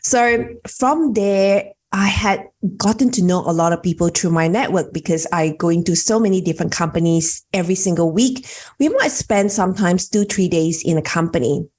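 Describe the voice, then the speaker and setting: Brief: woman guest from Malaysia, lowish voice, chatty storytelling. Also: moderate pace, darkish tone. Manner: chatty, with frequent fillers. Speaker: guest networking coach. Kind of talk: conversational storytelling.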